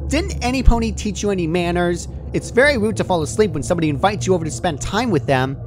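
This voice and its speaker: Man doing a high, perky, menacing girl pony. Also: animated, fast. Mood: pouty, scolding. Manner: perky, scolding, sing-song matter-of-fact chatter. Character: cheerful, childishly chatty, menacing female pony. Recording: clearly noisy home recording.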